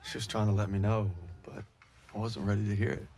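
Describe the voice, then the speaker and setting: An actor playing a reflective young soldier in a war game, narrating in a somber, confessional manner. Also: male voice, lowish voice, monotone, fairly quick, darkish tone, close to an everyday voice.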